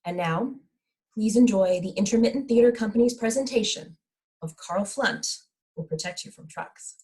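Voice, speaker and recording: A woman's voice: medium pitch, moderate pace, warm tone. A woman announcer reading a scripted welcome to introduce a theater production.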